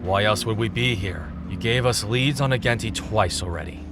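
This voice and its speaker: An actor playing a gruff, guarded fighter in an audio drama, a man who talks in curt, deadpan lines. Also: on the low side, moderate pace, over music, natural voice. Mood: smug, dry.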